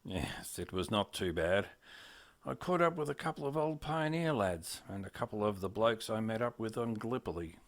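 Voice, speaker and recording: A man playing a gentle, modest ageing war veteran uncle in a radio play. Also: fairly low pitch, lively intonation, normal speed. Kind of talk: amateur radio play dialogue.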